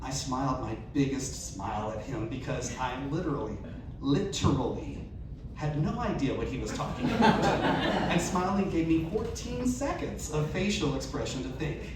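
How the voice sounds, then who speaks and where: A man's voice: mid-range voice, expressive, normal speed. A man reading a humorous first-person story aloud to an audience, acting out its questions and dialogue as he goes. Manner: performed delivery, acting out dialogue and self-questions. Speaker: reader at a live storytelling event. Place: live event venue. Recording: echoey, noisy room recorded from far off.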